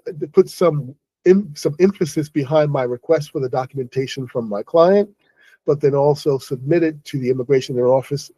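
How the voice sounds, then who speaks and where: A middle-aged man from New City, speaking to his viewers in a halting, casual monologue and sounding weary after a stressful day. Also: fairly high pitch, warm tone, dry recording, rough recording. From New York City. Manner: halting, many restarts and self-corrections. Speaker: online English host.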